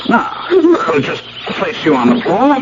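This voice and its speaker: A man's voice: sits high, huge pitch swings, slow pace, exaggerated voice. An actor playing a crazed, vengeful husband in a radio drama, theatrical and mockingly polite, drawing out his menace. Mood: gloating, mock-polite. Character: crazed, vengeful jealous husband, gloating sadistic villain. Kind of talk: 1970s radio drama acting. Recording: noisy, dull old radio broadcast.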